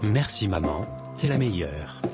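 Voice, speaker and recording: Earnest romantic lead for animation, male actor, early twenties, polite, notably deep voice. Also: very animated, unhurried. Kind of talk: animated drama dialogue. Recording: music behind the voice.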